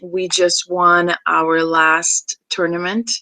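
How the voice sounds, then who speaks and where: A woman's voice: fairly low pitch, flat delivery, takes it slowly, bright, forceful tone, no echo, rough recording. A woman in her thirties, originally from Brazil, chatting casually as she introduces herself to a class, with many ums and restarts. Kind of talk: casual self-introduction video.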